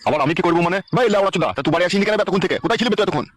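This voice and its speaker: A man's voice: high voice, animated, racing. A man playing an exasperated, aggrieved father who rants and curses in an amateur village comedy cartoon. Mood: angry scolding. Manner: ranting, cursing, aggrieved. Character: exasperated foul-mouthed father scolding his grown son. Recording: loud music under the voice.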